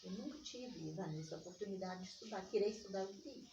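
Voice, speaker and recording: A woman's voice: medium pitch, fast talker. Older Brazilian woman storyteller, echoey distant recording. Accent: Brazilian Portuguese from Minas Gerais. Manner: animated, re-enacts remembered dialogue. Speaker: interviewee, community organizer. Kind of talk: personal storytelling in conversation. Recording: echoey room, distant mic, dull, faint noise.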